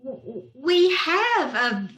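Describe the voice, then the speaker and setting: A woman playing a wry, bossy younger woman who is easily smitten, in old time radio comedy style with deliberate delivery. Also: sits high, big pitch swings, fairly bright tone, exaggerated voice. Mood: tongue-tied. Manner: dry, deliberate, stammering when flustered. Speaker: amateur radio troupe actor. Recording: roomy echo on video call.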